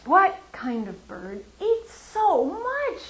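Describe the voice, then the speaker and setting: Worried storybook mother bird, read by a woman, high and lilting. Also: extremely slow, slight background noise, exaggerated voice, low-quality recording. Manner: storybook read-aloud, animated.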